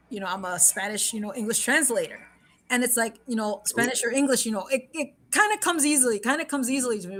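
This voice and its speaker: A woman's voice: on the high side, fairly bright tone. A woman hosting a livestream, chatting casually, rambling and repeating herself, switching between English and Spanish, laughing often. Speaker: livestream host. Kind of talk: casual chat.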